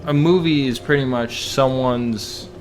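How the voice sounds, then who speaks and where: A man's voice: medium pitch, unhurried. A man hosting a casual podcast chat, steering the conversation among friends. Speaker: podcast host.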